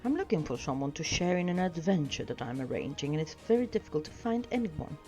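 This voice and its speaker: A woman's voice: low voice, sing-song, quick pace, mellow. A woman voicing a stern, teasing old wandering wizard in a wordy, pointed storybook reading. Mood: brisk. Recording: dull sound with music behind.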